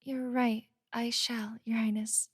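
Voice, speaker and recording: A woman's voice: fairly high pitch, little pitch movement, slow, husky, no room sound, clean studio sound. A woman playing a deferential magician who serves a prince in an audio drama, speaking formally and hesitantly. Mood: cowed, apologetic. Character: deferential young magician, quietly jealous of her prince.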